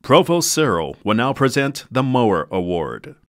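A man's voice: medium pitch, very animated, moderate pace, no echo. A man makes formal, scripted ceremony announcements in a dry voice-over. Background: faint music.

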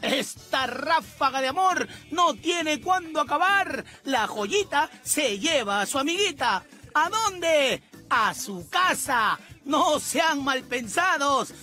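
A Peruvian woman reads a scripted gossip voice-over with a sarcastic, teasing edge. Her voice sits high, and loud dance music plays under every line. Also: lively intonation, slow pace. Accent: Peruvian Spanish. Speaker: gossip show narrator. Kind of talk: mocking scripted voice-over narration. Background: loud reggaeton music.

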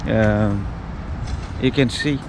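A man's voice: fairly low pitch, drawn-out words. A man in his fifties narrating a walking-tour travel vlog, hesitant with many ums, filmed on a handheld action camera outdoors. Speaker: travel vlogger. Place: outdoors on city streets. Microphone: action camera held at arm's length. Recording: outdoor street noise, traffic.

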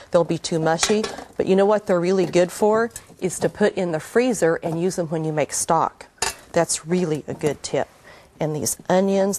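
A woman's voice: mid-range voice, darkish tone. Chatty woman hosting a cooking show. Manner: chatty, gives tips straight to camera. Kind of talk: friendly cooking demonstration. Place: indoor TV studio kitchen set.

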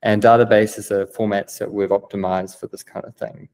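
Young kiwi guy on a video call, lowish voice, lots of ums, keen to explain stuff. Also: flat delivery, normal speed, darkish tone. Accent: New Zealand. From New Zealand. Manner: eager, explanatory, many "um" fillers. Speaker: guest, business developer. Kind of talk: remote video interview. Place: plain-walled room indoors.

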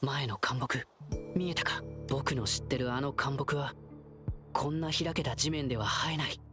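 A man voicing a gentle, alert and confident boyish young guide in a video game. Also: mid-range voice, moderate pace, music in the background, close to an everyday voice. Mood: cautious, hushed. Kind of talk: Japanese game voice acting.

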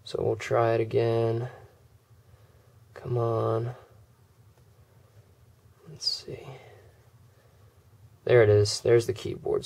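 Guy with a cold, kinda hoarse, chill phone demo, lots of ums. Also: mid-range voice. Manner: relaxed, many "uh" and "um" fillers. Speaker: tech video creator. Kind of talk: casual screen demo walkthrough.

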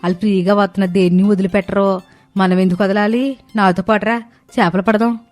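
A woman's voice: mid-range voice, little pitch movement, brisk, a little mellow, faint music behind, close to an everyday voice. A woman voicing an envious, bossy older neighbour in a cartoon, nagging and urging.

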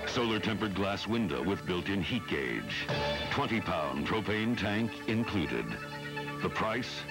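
Deep, animated male ad announcer. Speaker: commercial announcer. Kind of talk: scripted TV ad voice-over. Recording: 1980s television ad under music.

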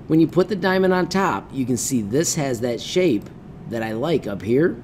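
A middle-aged man giving an informal step-by-step software tutorial, upbeat, with punchy interjections and folksy wording. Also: mid-range voice, lively intonation, normal speed, a little hiss or hum. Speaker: tutorial presenter teaching 3D design. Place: indoors at a computer, webcam screencast.

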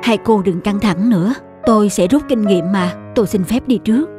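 A woman's voice: mid-range voice, normal speed, over music, natural voice. A woman voicing a humble, kindly middle-aged street cleaner in a cartoon drama, polite and apologetic. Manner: polite, apologetic, soft-mannered. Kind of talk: cartoon moral-drama dubbing.

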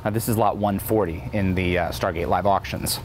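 A man's voice: deep voice, steady tone, a little fast, a little mellow. A young man giving an enthusiastic, unscripted guided tour to camera in the manner of a keen fan, presenting for an auction company. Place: large indoor museum exhibition hall. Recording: clear background noise, indoor venue ambience.

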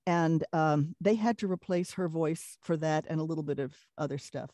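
A middle-aged woman with a Brooklyn accent and a deeper voice, telling lively anecdotes in a casual interview and laughing often. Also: normal speed, no echo, studio quality. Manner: animated storyteller, laughs often. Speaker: voice actress, guest.

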